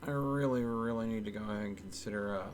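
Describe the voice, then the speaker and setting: A man's voice: fairly low pitch, fast, noisy background. A man doing casual gameplay commentary as a streamer, talking absent-mindedly to himself. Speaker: game streamer.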